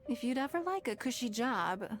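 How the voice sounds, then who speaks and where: A woman playing a shrewd, wealthy noblewoman in a video game, elegant, composed and gently teasing. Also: a little high, sing-song. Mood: wry, teasing. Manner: refined, composed, deliberate. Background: music.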